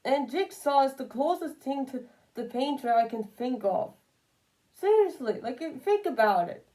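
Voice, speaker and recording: A woman's voice: high-pitched, lively intonation, moderate pace, warm tone, rough recording. A woman giving casual, unscripted opinion commentary, rambling and repeating phrases for emphasis. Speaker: commentary video creator.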